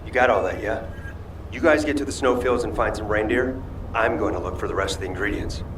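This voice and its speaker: An actor playing an easygoing young chef in a video game, with a casual, teasing way of talking. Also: male voice, lowish voice, brisk, background noise, natural voice. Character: easygoing, joking young male chef and soldier.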